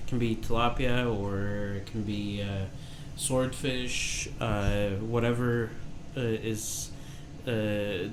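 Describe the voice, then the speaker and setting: A young man from Peru chatting on a podcast, talking with many pauses and fillers. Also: lowish voice, fairly even intonation, background noise. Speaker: podcast co-host. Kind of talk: casual chat.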